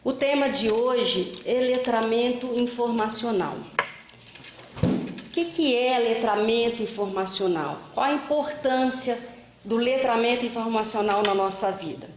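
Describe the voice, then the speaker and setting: A middle-aged woman lectures to a room of teachers. Her voice sits a little high, and she talks conversationally, telling stories with frequent fillers. The sound carries the echo of a large hall. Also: unhurried, a little hiss or hum. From Minas Gerais, Brazil. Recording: echoey hall.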